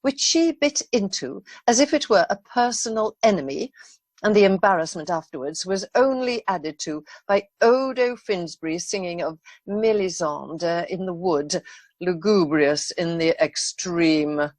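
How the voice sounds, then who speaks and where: A woman's voice: fairly low pitch, expressive, unhurried, darkish tone, no echo. Woman as an imperious lady, close dry sound. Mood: gloomy, fretful. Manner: formal, reproachful. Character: aggrieved, imperious English lady of the manor. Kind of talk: radio play acting.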